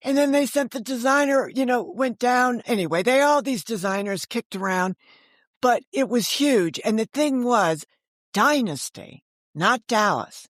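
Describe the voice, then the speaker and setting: A woman's voice: a little high, lively intonation, unhurried, no room sound, studio quality. A woman being interviewed as a guest, telling stories in a chatty, digressive way and voicing other people's remarks as she goes. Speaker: interview guest, author and storyteller. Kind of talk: animated anecdotal storytelling in casual conversation.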